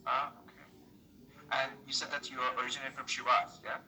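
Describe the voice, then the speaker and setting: A man's voice: medium pitch, little pitch movement, quick pace, background noise. A man hosting a live English conversation lesson, chatty and playful, teasing his guest and laughing often. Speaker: English teacher, livestream host. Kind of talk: casual live lesson chat.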